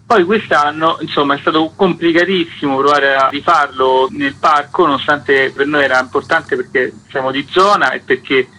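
A man's voice: a little high, steady tone, normal speed, hardly any pauses, sharp tone, slight background noise, rough recording. A man, a festival organizer talking loosely as a guest in a radio interview, with fillers and small jokes. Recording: radio broadcast.

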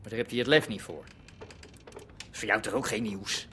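A man's voice: on the low side, brisk, a bit bright, a little hiss or hum, close to an everyday voice. An actor playing a cagey, scheming underworld man in a radio drama, protesting in colloquial, indignant speech. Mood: scheming, aggrieved. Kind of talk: naturalistic Dutch radio drama dialogue.

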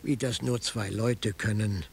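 A man's voice: on the low side, fairly even intonation, measured pace, creaky, a little mellow, slight background noise. An actor playing a wry detective narrating his case in a hardboiled radio drama, dry and ironic. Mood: wry amused narration.

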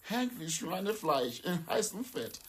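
Eerie swamp mystic in radio drama, broken grammar, swings all over, slight noise. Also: male voice, high-pitched, very animated, measured pace. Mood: knowing, amused. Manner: broken grammar, rambling, chuckling. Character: Jadu, eerie swamp mystic, chuckling and awestruck. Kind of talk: radio play dialogue.

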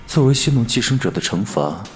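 A man playing a cool, fastidious alien parasite posing as a human in an audio drama, detached and disdainful. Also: mid-range voice, very animated, soft background music, close to an everyday voice. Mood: mock polite. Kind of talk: audio drama dialogue and inner monologue.

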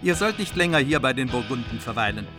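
A man's voice: mid-range voice, big pitch swings, fast talker, lightly acted. A man playing an earnest, courteous margrave pleading as an envoy in a German radio play. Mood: loyal, resolute. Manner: formal, courteous, persuasive. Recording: music behind the voice. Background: music.

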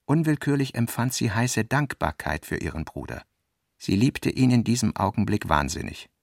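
A man narrates literary prose for radio in Standard German. His mid-pitched voice has a gravelly creak and is recorded very dry and close, with no room around it. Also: dry recording.

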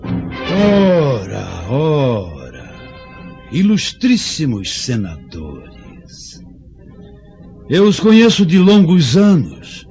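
Vengeful old chieftain, wildly swooping delivery. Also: male voice, fairly high pitch, huge pitch swings, very slow, gravelly, long pauses, a little mellow, heavily acted. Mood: gloating, menacing. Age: older. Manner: gloating, menacing, mock-courteous. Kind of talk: theatrical radio drama acting. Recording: dull lo-fi radio drama, music behind.

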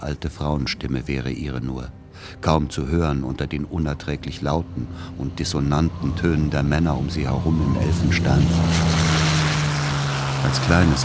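Middle-aged son narrating a radio play, really deep voice, measured and melancholy, music underneath. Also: male voice. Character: melancholy grown son recounting his past. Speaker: first-person narrator.

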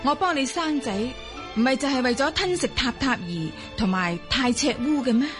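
A woman's voice: high voice, normal speed, over music, lightly acted. A woman playing a khan's resolute middle-aged wife in a Cantonese radio drama, formal and insistent. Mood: urging, fierce.

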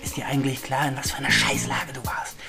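A man's voice: medium pitch, fairly even intonation, quick pace, vocal fry, music in the background, close to an everyday voice. An actor playing a streetwise young man in a radio drama, a bossy older brother who lectures in slangy, condescending talk. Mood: lecturing, tense. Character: bossy, streetwise 22-year-old older brother, petty looter.

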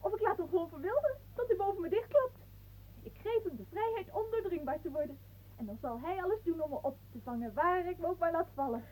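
A woman's voice: very high voice, lively intonation, fast, a little mellow, low-quality recording. An actress playing a dreamy, headstrong schoolgirl niece in a radio play, cheeky and absorbed in herself. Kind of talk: theatrical radio play acting.

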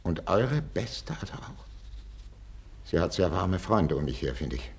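Tormented aging king: low gravelly husky voice, imperious, suspicious, classical radio drama declamation. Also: big pitch swings, a little slow, full character voice. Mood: agitated, bitter. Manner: declamatory, swinging between whispers and outcries. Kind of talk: 1950s radio drama acting, classical stage German. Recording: old 1950s radio recording.

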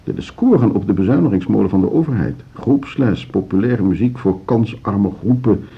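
An actor playing an earnest, indignant lover of classical music in a radio drama, halting yet emphatic. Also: male voice, fairly low pitch, expressive, creaky, slightly rough, exaggerated voice. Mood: indignant, pleading. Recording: dull radio play recording.

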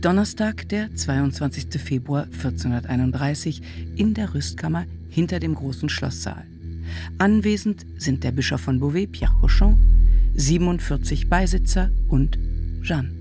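A woman's voice: low-pitched, expressive, normal speed. Formal female court chronicler over music. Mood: formal, factual. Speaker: announcer of trial record. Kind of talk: scripted narration in a radio drama.

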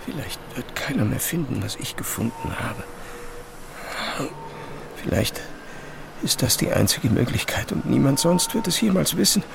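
An actor playing a desperate, ailing physicist in a German radio play, urgent, breathless and halting. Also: male voice, medium pitch, sing-song, gravelly, noisy background. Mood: anguished. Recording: loud music bed behind voice.

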